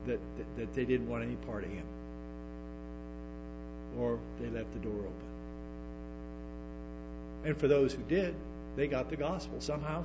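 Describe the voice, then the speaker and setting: A man preaching a sermon to a congregation, stumbling through restarts and tossing in emphatic asides. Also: medium pitch. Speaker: pastor. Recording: dull lo-fi sermon recording with music and hum.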